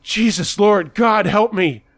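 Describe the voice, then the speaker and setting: Frantic bleeding man: wailing, shrill, screaming prayers. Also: takes it slowly, a bit bright, dry recording, full character voice.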